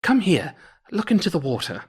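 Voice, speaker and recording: A man's voice: sits high, big pitch swings, moderate pace, slightly rough, pitched above the performer's own voice, full character voice. A man voicing an old devil who serves obediently, speaking in a formal, servile manner in a folktale. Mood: obliging. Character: old male devil, obedient servant to the soldier.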